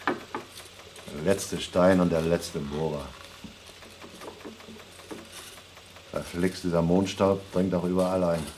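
An actor in a radio play playing a gruff, sardonic male astronaut with a dry, grumbling way of talking. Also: low voice, little pitch movement, a little slow, a little mellow, slight background noise. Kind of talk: radio drama acting.

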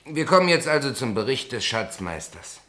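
An actor playing a naive but sensible, unassuming newcomer president in a German radio play, questioning and matter-of-fact. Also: male voice, medium pitch, big pitch swings, normal speed, bright, forceful tone. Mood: puzzled, skeptical. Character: plain-spoken, naive, sensible new opera board president.

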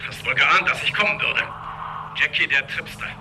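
An actor playing a menacing, taunting gangster in a radio drama, heard through a walkie-talkie. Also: male voice, fairly high pitch, normal speed, noisy background. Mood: mocking menace. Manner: mocking, threatening. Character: menacing male gangster taunting his unconscious victim. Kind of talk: German radio drama acting.

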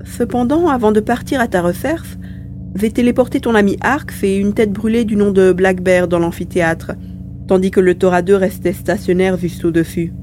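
Woman voicing a chatty little mouse-beaver, mellow, faint hum. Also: medium pitch, normal speed, natural voice. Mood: chatty, amused. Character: small male mouse-beaver mutant, cheerful, playful chatterer. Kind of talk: acted dialogue in an amateur audio serial. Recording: faint background hum and noise.

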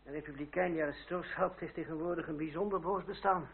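Wry, proud young republican gentleman, slightly high voice, formal period radio drama, narrow old recording. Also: fairly even intonation, gravelly. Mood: wry, resigned. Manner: formal, sardonic, measured. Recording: old muffled radio play, hum, narrow band.

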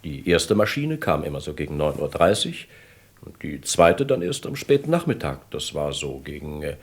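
Very deep, husky, hesitant male witness. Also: sing-song, normal speed, heavily acted. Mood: hesitant, recollecting. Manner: hesitant recollection, earnest. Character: male millionaire, freed kidnap victim, earnest and hesitant. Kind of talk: German radio crime drama acting.

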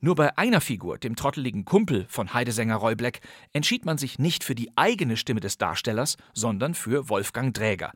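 A man's voice: medium pitch, sing-song, brisk, hardly any pauses, a bit bright, slightly rough, dry recording. Male, podcast host, wry, emphatic, scripted presenting, very dry recording.

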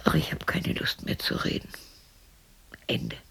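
An actress playing a lonely, defiant widow of about sixty in a radio play, muttering to herself in a breathy, husky voice. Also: female voice, unhurried, fairly bright tone, in a whisper, lightly acted, low-quality recording. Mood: weary, musing. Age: 60s. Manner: musing, muttering, colloquial. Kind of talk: German radio play monologue.

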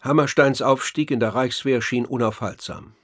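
A man narrating a scripted radio documentary in a measured, articulate, essayistic way. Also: mid-range voice, fairly even intonation, over music.

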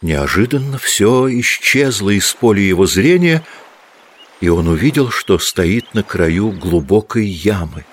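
A man reading scripted literary narration for an audio drama in a measured storytelling manner. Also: fairly low pitch, expressive, slightly rough.

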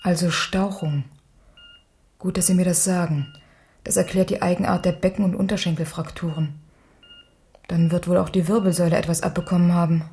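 An actress playing a senior hospital doctor in a German radio crime drama, dry, inquisitive and matter-of-fact. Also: female voice, fairly low pitch, little pitch movement, brisk, pauses often, warm tone, a little hiss or hum, lightly acted.

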